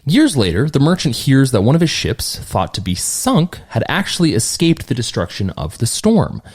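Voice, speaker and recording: Man, podcast host, lively pitch, casual storytelling, joking asides. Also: normal speed, slightly rough. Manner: animated, retelling a fairy tale with asides.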